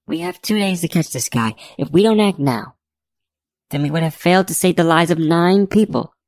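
A woman's voice: lowish voice, very animated, fast talker, creaky, darkish tone, dry recording, voice pushed up. A woman voicing a bold, argumentative, pleading female private investigator in an audiobook. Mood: urgent pleading. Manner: insistent, argumentative.

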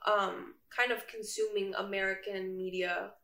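A woman's voice: mid-range voice, level tone, a little slow, gravelly, a bit bright. A young woman from Indonesia chatting casually as a student guest, in informal, loosely hesitant phrasing. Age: young adult. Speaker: podcast guest, international student. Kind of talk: casual chat. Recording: roomy echo.

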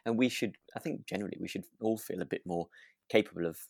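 Creaky-voiced man, close and dry. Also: sits low, fast talker, darkish tone, no room sound. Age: over 25, adult. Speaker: podcast co-host. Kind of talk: casual chat reviewing a radio drama.

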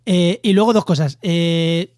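A man's voice: high-pitched, measured pace, a little mellow, dry recording, studio quality. A man from La Mancha in Spain, hosting a podcast in a casual, chatty style. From Albacete, La Mancha, Spain. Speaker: podcast host.

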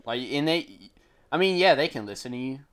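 A man's voice: medium pitch, animated, a little fast. A young man co-hosting a casual podcast, loose and swearing freely, often trailing off mid-thought. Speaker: podcast co-host. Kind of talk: casual chat.

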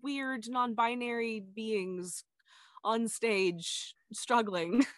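A stage actor chatting as a podcast guest, lively and laughing often, with the pitch jumping up when excited. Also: female voice, drawn-out words, no echo. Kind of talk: casual chat.

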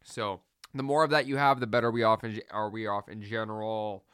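Man, podcast host, quick and excitable, restarts often, smooth voice, close dry mic. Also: fairly low pitch, dry recording. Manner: fast, excitable, many restarts. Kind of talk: solo sports podcast talk.

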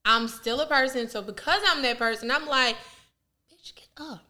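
A woman's voice: a little high, moderate pace, sharp tone. A young adult woman co-hosting a casual podcast chat, frank and reflective, laughing often. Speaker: podcast co-host.

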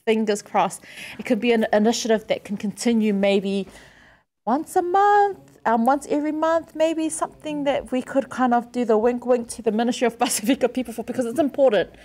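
A woman's voice: fairly high pitch, fairly quick, darkish tone. A woman co-hosting a podcast, chatty and rambling, with many fillers. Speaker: podcast co-host. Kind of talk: casual podcast chat.

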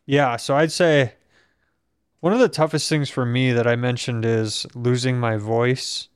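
A young man from Minnesota, hosting a casual conversation and speaking in a steady, reflective way, with a voice that is not very strong on breath. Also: medium pitch, measured pace, clean tone, no echo, studio quality. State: weak breath support. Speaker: podcast host.

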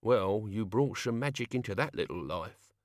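A man's voice: fairly low pitch, animated, normal speed, dry recording, close to an everyday voice. Guy doing a grumpy old servant, sarcastic, dry close sound. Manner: sardonic.

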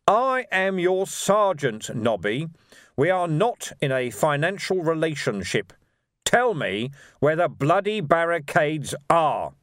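A male narrator puts on a gruff police sergeant from a comic novel. He is weary and dry, delivering blunt, pragmatic lines with sardonic stresses that rise and fall widely. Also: fairly high pitch, big pitch swings, slow, a bit bright, no room sound, voice pushed up, heavily acted. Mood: stern, impatient.

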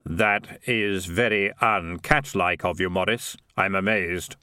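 A man voicing Death, a grave and aloof male reaper who speaks slowly and solemnly. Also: deep voice, sharp tone, no room sound, clean studio sound, heavily acted. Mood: solemn, dry. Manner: slow, solemn, measured.

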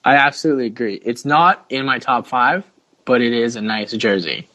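A man's voice: medium pitch, moderate pace, sharp tone, no echo. A man hosting a casual sports podcast with jokey, slangy banter. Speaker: podcast host.

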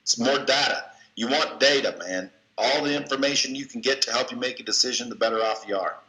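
A man's voice: medium pitch, fairly quick, pushed and bright. A man as an interview guest, giving blunt, coaching advice in a folksy way and cursing casually. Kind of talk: motivational advice in conversation. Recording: echoey room.

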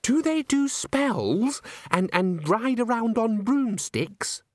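A man voicing a wide-eyed, naive young woman with a breathy, fascinated manner, in a storybook reading. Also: medium pitch, huge pitch swings, very slow, dry recording, studio quality, voice pushed up, heavily acted.

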